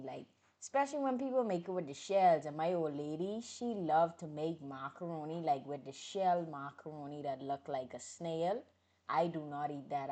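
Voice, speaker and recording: A woman with a fairly low voice chats casually with her livestream viewers. She is outspoken and animated, flaring into loud outbursts and then sinking back to low, muttered asides. It sounds like a casual stream from a computer or phone mic. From Bahamas.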